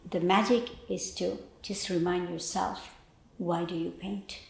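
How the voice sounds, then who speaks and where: Older woman, Bangladeshi accent, slow pace, thoughtful halting delivery, personal interview, echoey room. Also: lowish voice, fairly even intonation, gravelly. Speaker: painter. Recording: roomy, echoey indoor sound.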